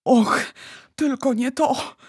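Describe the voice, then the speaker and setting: A woman voicing an excitable, bossy male giant in a storybook, exclaiming theatrically in garbled made-up words. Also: mid-range voice, takes it slowly, breathy edge, no room sound, studio quality. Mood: anguished, angry. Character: excitable male giant dream-catcher, bossy, dramatic, word-mangling.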